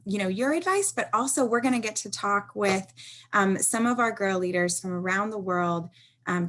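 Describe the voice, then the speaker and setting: A woman's voice: mid-range voice, a bit bright. A woman speaking as host of an online panel discussion. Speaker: host, executive director.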